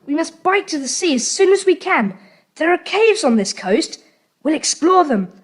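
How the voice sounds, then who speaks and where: An actress playing an impulsive, enthusiastic young schoolboy adventurer in an audiobook drama, slangy and exclamatory. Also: female voice, extremely high pitch, very animated, moderate pace. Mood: eager.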